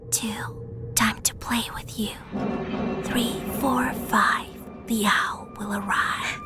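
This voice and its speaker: Creepy horror game predatory playful woman, whispering a slow nursery rhyme, loud music over it. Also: a little high, fairly even intonation. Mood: eerie, menacing playfulness. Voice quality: breathy whisper. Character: creepy, playful predatory female chanting a sinister counting rhyme.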